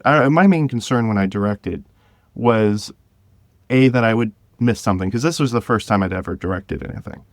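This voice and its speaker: A man with a fairly low voice, recorded dry with hardly any room sound. He talks casually as an interview guest, feeling his way through stories in a halting manner, with the odd chuckle. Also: dry recording. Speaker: guest, director of an audio drama podcast.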